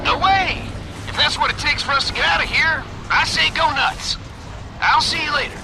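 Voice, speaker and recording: An actor playing a jokey, brash sidekick buddy in a game, talking in a casual, slangy, animated way. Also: male voice, extremely high pitch, normal speed. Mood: teasing. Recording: very noisy game cutscene audio.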